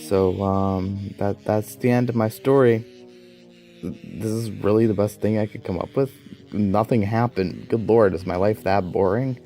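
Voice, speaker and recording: A man's voice: fairly low pitch, fairly even intonation, a little slow, dark tone, poor audio. A young man telling a comedic personal story in a rambling, self-deprecating way, with music behind him. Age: young adult. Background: background music.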